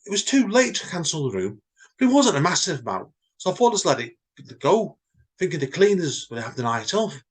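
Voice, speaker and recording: Guy putting on a loud indignant company boss for a story, high husky voice, swoops about. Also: sing-song, fairly quick. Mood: defensive. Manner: booming, indignant. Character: outraged male managing director, loud and exasperated.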